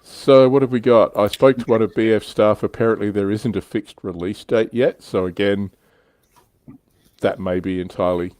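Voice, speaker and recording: Male livestream host, very deep voice, informal and wry, chatting casually with close, dry sound. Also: fairly even intonation, normal speed, darkish tone, no echo. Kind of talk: casual hobby panel chat, reading out viewer comments.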